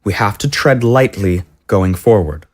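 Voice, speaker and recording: A man's voice: lowish voice, a little slow. A man playing a cautious, dismissive mayor in an audio drama, speaking in a formal, wordy and officious way. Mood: firm, officious. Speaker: voice actor. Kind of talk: scripted audio drama acting.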